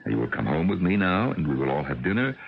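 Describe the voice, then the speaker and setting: Stern American father and scientist, radio drama. Gravelly, very deep, formal and probing, on a faintly noisy old tape. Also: very animated, phone-quality sound, full character voice. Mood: stern confrontation. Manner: measured, formal, deliberate. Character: stern suspicious scientist father, middle-aged, controlled and probing. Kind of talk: 1980 radio drama acting.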